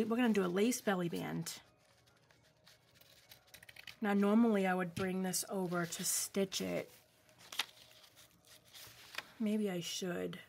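A woman's voice: mid-range voice, creaky, slight background noise. A woman in her forties from New England, chatting casually with many ums while crafting at home under an overhead camera. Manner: rambling, warm asides with many ums. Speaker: craft vlogger. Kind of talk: casual craft-along chat. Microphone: overhead camera, hands only.

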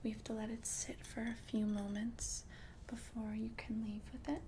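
A woman playing a calm, earnest potion-selling witch in an ASMR roleplay, whispering softly and breathily with slow, careful explanations. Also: a little high, a little hiss or hum, close to an everyday voice. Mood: soothing, confiding.

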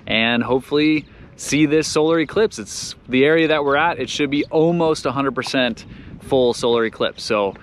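An upbeat man giving casual, excited narration for a sailing vlog. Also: mid-range voice, normal speed, a little hiss or hum. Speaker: vlogger narrating a family sailing trip.

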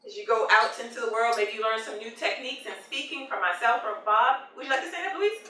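A woman's voice: on the high side, fast, sharp tone. A woman chairing a speaking club meeting, inviting guests to share comments in an encouraging way. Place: meeting room. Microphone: far camera. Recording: roomy, distant, light noise.

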